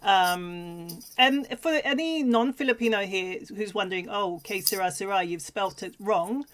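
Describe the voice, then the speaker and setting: A woman's voice: medium pitch, normal speed. A woman from Sweden co-hosting a livestream in a casual, chatty, giggly manner. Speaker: co-host of a livestream talk show.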